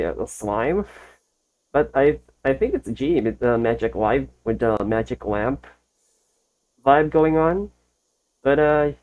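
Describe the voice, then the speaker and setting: A woman's voice: a bass voice, narrow, tinny audio. A woman hosting a reaction video with casual, amused commentary and hesitant musing. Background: faint music.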